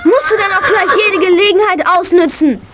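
A woman's voice: very high voice, normal speed, heavy background noise. A female actor playing a spirited, eager young horse-mad girl in a 1980s children's audio drama, pert and exclamatory. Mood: pert, scolding.

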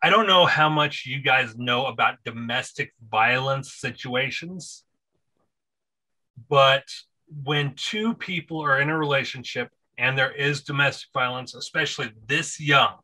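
A man in his thirties with a bright, forward tone, reading from his notes and talking through them on a podcast. He speaks on a studio microphone from a home room full of books, in a steady, even way. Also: medium pitch, measured pace, poor audio. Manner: reads quotes from notes in a steady voice. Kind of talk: casual chat, reading aloud. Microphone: headphones with a large studio mic on a boom.

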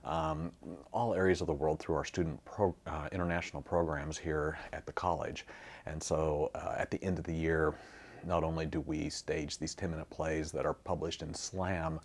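A man's voice: extremely low pitch, a little mellow. A man who teaches drama, talking informally to camera. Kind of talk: informal interview-style talk to camera.

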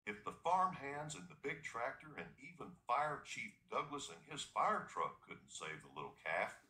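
A man reading a children's story as a scripted, dramatic and expressive narration. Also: mid-range voice, a little slow, echoey room, poor audio.

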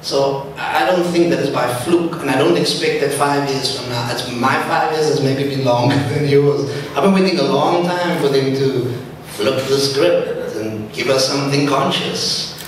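A man's voice: mid-range voice, normal speed. A man with a South African accent giving informal, outspoken panel talk with slang and emphasis. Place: large lecture hall. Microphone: handheld microphone. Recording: echoey hall, distant, faint noise.

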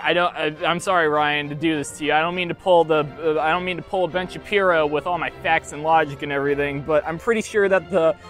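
A young man rambling through a toy unboxing outdoors by a field, joking deadpan and halting with lots of fillers. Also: a little high. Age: young adult. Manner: rambling, self-mocking, halting with many fillers. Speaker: YouTuber unboxing toys. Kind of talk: jokey deadpan unboxing vlog. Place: outdoors by a field under trees. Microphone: lapel mic.

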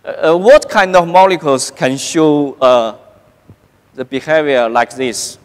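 Slow halting man lecturing in a hall. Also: on the high side, smooth. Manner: halting, many "uh" fillers and restarts. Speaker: keynote lecturer. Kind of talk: conference science lecture. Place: large conference hall stage. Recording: long hall reverb.

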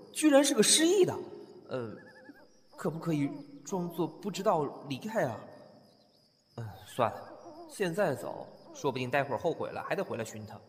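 A man voicing a kind, flustered young scholar in a costume radio drama, chatty and fretful. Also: on the high side. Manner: chatty, animated, fretful inner asides. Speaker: voice actor.

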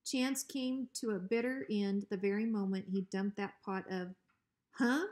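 A woman reads a children's story aloud at a middle pitch. Her delivery is expressive scripted narration. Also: moderate pace. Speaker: school principal reading aloud.

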